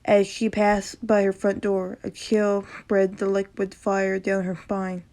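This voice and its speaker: A woman with a sore throat and a slight rasp, casually reading a horror story aloud, often stumbling over words and correcting herself. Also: medium pitch, monotone, unhurried, mellow. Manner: reacts casually between passages.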